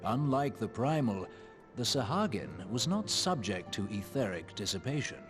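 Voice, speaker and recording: A man's voice: on the low side, sing-song, normal speed. An actor playing a solemn male scholar in a fantasy game, with grave, formal, archaic diction. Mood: grave, measured. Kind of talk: theatrical fantasy game dialogue. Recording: loud music under the voice.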